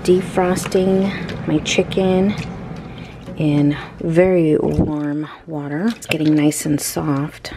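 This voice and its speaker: Female home-cook vlogger, slightly low pitch, informal and playful, some kitchen background noise. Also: dark tone. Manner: chatty, informal, playful wording. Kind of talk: casual cooking vlog narration.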